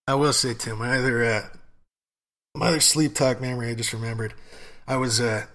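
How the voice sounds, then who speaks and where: A young man co-hosting a podcast, giving relaxed, joking advice with plenty of swearing and laughter. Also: mid-range voice, a little fast. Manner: laid-back, profane, laughs often. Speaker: podcast co-host. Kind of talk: casual advice chat.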